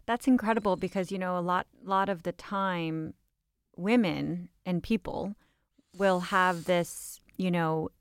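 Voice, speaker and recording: A woman with a smooth, resonant, slightly low voice who hosts an interview. She asks open questions and responds with encouraging affirmations. The sound is dry and close. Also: unhurried, no room sound. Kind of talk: conversational interview.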